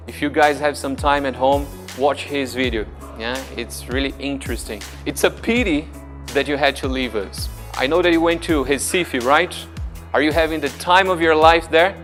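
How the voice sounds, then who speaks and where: A man's voice: mid-range voice, fairly bright tone. A man from Brazil, hosting in a friendly, chatty way and asking interview questions. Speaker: host.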